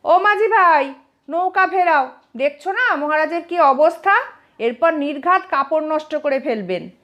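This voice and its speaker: Lady playing a sly jester guy, teasing and fake-earnest, highish lilting storybook voice. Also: lively intonation, measured pace, fairly bright tone. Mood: calling out. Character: cheeky, sly male court jester. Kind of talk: dramatized comic audio storybook.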